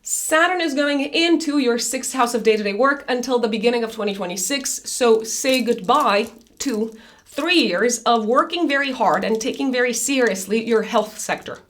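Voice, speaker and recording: A woman reading a monthly horoscope in a chatty, loose way, her voice raspy after an illness. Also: a little high, moderate pace, a bit bright. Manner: chatty, loose, with many fillers and restarts. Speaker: astrologer.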